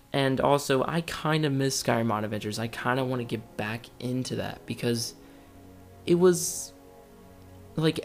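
A young man talks casually to his online audience in a mid-pitched voice. He rambles a little, hesitating and rewording as he goes. Faint music plays behind him. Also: creaky. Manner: rambling, hesitant, often circling back to rephrase. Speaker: YouTube gaming creator. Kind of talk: casual audio log to subscribers.

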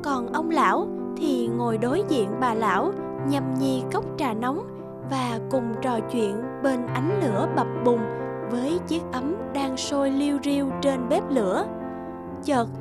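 Female storybook narrator: high voice, scripted delivery, loud guitar bed. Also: measured pace. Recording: loud guitar music underneath.

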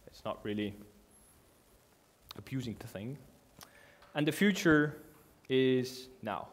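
Guy doing a conference talk in a big dark hall, earnest and kinda halting, lots of restarts. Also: medium pitch, expressive. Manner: earnest, halting, with restarts and non-native grammar. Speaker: conference speaker. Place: large dark hall with a seated audience.

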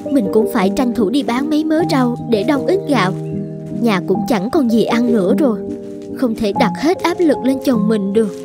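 High-voiced gentle young mother played by an actress. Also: lively intonation, normal speed, close to an everyday voice. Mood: caring. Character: gentle, self-sacrificing young peasant mother and wife. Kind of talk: Vietnamese cartoon voice acting. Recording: loud background music. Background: music.

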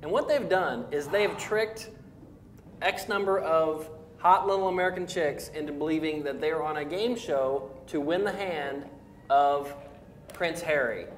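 A man's voice: a little high, a little slow. A middle-aged man hosting a casual entertainment news chat, with a dry, sarcastic manner. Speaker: geek talk show host. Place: indoor room, panel around a table. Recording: roomy echo, faint background noise.

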